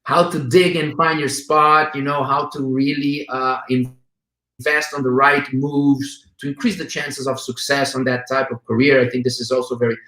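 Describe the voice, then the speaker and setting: Brazilian-accented host in echoey room. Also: male voice, mid-range voice, little pitch movement, normal speed, bright, forceful tone. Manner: friendly, eager questions, fillers. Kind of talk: casual interview chat.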